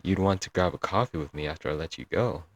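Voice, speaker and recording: Guy acting as a clingy broke yandere boyfriend, really deep voice, close dry sound, doting asmr roleplay. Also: quick pace, vocal fry, dry recording. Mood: touched, hopeful. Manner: doting, earnest, dramatic with sighs and chuckles. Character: lovesick, broke young male yandere stalker-kidnapper, clingy and sweet. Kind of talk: intimate ASMR audio roleplay, scripted monologue.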